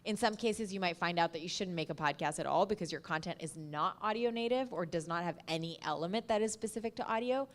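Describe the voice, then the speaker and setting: A woman speaking on a panel as a podcast producer, giving practical advice in measured, emphatic phrases. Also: fairly low pitch. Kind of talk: panel talk, advice to an audience.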